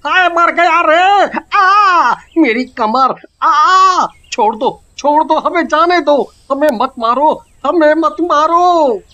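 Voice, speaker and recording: A woman voicing a fussy male market shopkeeper in a cartoon story, talking slowly. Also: expressive, heavily acted. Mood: pained. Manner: high, bright and slow.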